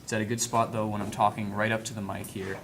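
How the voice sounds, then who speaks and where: A man with a fairly low voice talks through a hands-on equipment demonstration. He is informal and halting, often thinking aloud as he works. The recording is very noisy. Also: flat delivery. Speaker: instructor demonstrating audio equipment. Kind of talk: casual hands-on tutorial. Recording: clearly noisy room recording with handling sounds.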